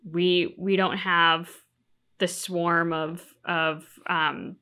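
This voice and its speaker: A woman's voice: lowish voice, flat delivery, very slow, bright, forceful tone. A woman in her thirties chatting casually and thoughtfully as co-host of a reaction show. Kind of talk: casual, analytical chat. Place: small furnished room, on a couch.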